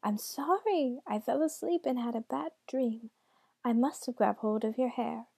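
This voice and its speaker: Female storyteller as a scared boy playing granny, high-pitched, falsely sweet, cooing, storybook. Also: animated, moderate pace, warm tone, no echo, studio quality. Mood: apologetic. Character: nervous boy disguised as devil's sweet old grandmother.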